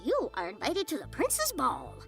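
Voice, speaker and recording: A woman voicing a childlike, excitable, scatterbrained sidekick in an audio comedy, chirpy in manner. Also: high-pitched, normal speed, vocal fry, soft background music, heavily acted. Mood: bright, eager.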